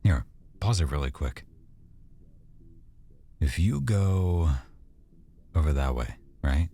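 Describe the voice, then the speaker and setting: A man playing an affectionate, easygoing boyfriend in an intimate roleplay, speaking casually and softly with hesitant pauses. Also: a bass voice, animated, heavily acted. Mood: casual, nostalgic. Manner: soft-spoken, casual, hesitant pauses and trailing thoughts. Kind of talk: boyfriend roleplay ASMR, one-sided intimate conversation.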